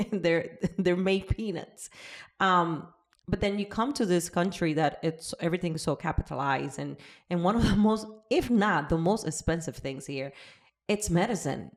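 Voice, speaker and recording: A woman's voice: on the low side, normal speed. Chatty woman host. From Cuba. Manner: chatty, restarts often, laughs easily. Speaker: podcast host. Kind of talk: casual interview chat.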